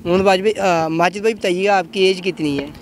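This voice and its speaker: Male host, high pitch, effusive interviewing style, faint outdoor urban noise. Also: steady tone, a little fast. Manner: effusive, repeats answers back. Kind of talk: street interview for a web show. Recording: outdoor street noise with traffic.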